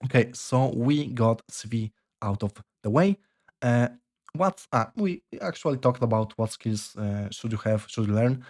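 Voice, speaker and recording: A young man with a Polish accent giving casual career advice, halting and full of fillers and restarts. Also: medium pitch, expressive, a little mellow. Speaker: software developer vlogger.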